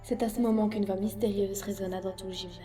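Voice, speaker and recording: A woman playing an earnest, easily frightened high school girl in an amateur video game dub. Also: little pitch movement, fast talker, mellow, faint music behind, lightly acted. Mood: narrating, composed.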